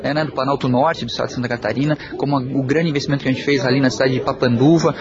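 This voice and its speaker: A man speaks in a formal, official way about his organization at a public event. He talks quickly with a slightly high pitch. A crowd is clearly heard behind him, over dull lo-fi sound. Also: fairly even intonation. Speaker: public company president guest. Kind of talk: interview answer at event.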